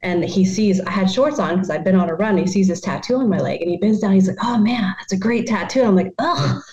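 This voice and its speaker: Woman podcast host, thirties, giggly animated storyteller, headset at home, a bit dull and roomy. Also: medium pitch, fast talker, crowd noise. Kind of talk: casual storytelling chat. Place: living room at home.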